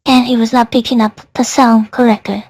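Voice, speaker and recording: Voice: mid-range voice, flat delivery, brisk, darkish tone, no echo, clean studio sound. A reviewer's voice turned into a woman's by an AI voice changer, giving a casual product demonstration. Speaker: software reviewer.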